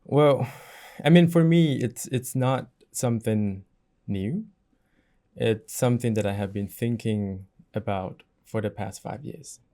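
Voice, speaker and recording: Low-voiced man in his thirties. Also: unhurried, warm tone. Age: 30s. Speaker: parliamentary candidate, interview guest. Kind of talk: conversational interview answers.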